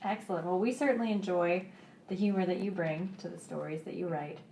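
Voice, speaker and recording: A woman's voice: on the low side, a little fast, creaky, warm tone, reverberant, slight background noise. A woman hosting a radio interview, introducing and questioning her guest. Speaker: interview host. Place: radio studio.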